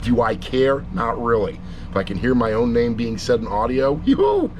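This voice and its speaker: A man's voice: medium pitch, sing-song, normal speed, noisy background. A middle-aged man giving a casual, rambling review, with blunt, jokey asides. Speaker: audiobook reviewer.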